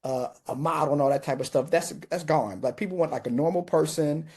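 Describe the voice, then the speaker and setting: A man hosts a webinar, rattling along at high speed and tripping over his own words as he goes. His voice is fairly high but dark and mellow in tone. He comes through a video call. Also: slightly rough. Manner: rapid, stumbling, repeats words. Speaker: host. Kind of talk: live webinar Q&A.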